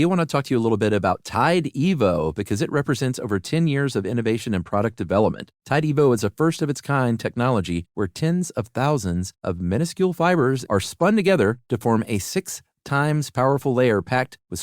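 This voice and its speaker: A man's voice: mid-range voice, sing-song, a little fast, hardly any pauses, darkish tone, no room sound, clean studio sound. A middle-aged man hosting a podcast, reading an advert in a casual, conversational way. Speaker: podcast host.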